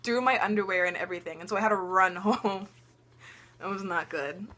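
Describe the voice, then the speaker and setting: A woman's voice: medium pitch, a little fast, sharp tone. A teenage girl vlogger telling funny stories in casual chat, laughing often and dropping lots of ums.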